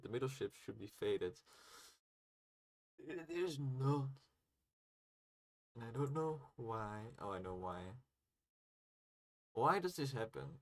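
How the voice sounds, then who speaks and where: A man chatting casually on a game development livestream, muttering to himself as he thinks aloud while working. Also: mid-range voice.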